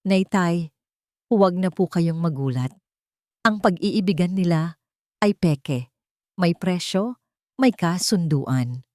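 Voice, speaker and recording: A woman voicing a smug male rival in a love story, calm, deliberate and poisonous. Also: lowish voice, no room sound, studio quality. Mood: calm venom.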